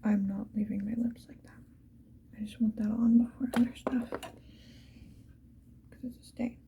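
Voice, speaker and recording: A woman speaking mostly in a breathy whisper, chatting casually to the viewer in an ASMR get-ready style. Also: a little high, fairly even intonation, a little slow, dark tone, slight background noise. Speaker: ASMR video creator.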